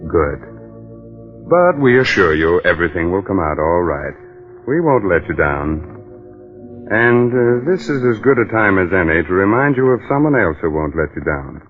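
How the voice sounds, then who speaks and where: Male radio host: lowish voice, chatty, reassuring salesman, vintage announcing over music, thin narrow recording. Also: sing-song, normal speed. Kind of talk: old-time radio announcing.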